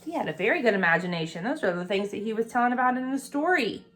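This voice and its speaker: Lady reading a storybook to little kids, highish voice, chats about the pictures. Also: expressive, quick pace, fairly bright tone, faint music behind. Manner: explains pictures and asks listeners questions. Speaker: storybook reader for preschool children. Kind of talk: read-aloud picture book with chatty asides to young children.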